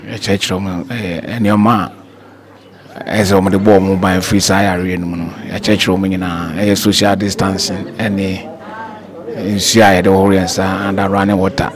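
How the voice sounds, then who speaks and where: A middle-aged man with a Ghanaian accent, a pastor explaining the church's efforts indoors into a handheld microphone. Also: low voice. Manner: measured, explanatory, with English terms.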